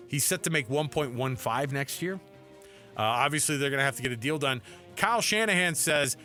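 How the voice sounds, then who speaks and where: A man's voice: medium pitch, sharp tone. An American man co-hosting a sports talk show, bantering casually and reacting with sarcastic disbelief.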